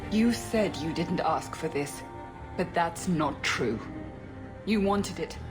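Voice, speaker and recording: A woman's voice: lowish voice, lively intonation, takes it slowly, over music. An actress playing a young elf woman, the hero's sister, who speaks in a defensive, pleading way. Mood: resentful.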